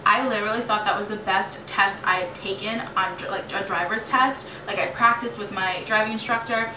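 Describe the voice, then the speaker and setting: A late-teen American woman chats like a vlogger telling stories to viewers, animated and dramatic in her delivery. Her pitch is average for a woman. She is recorded away from the mic in an echoey room, with clear noise. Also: fairly quick. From New York State, United States. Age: late teens. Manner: animated, chatty, dramatizes remembered exchanges. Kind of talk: casual storytime vlog chat. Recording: roomy echo, distant mic, clearly noisy.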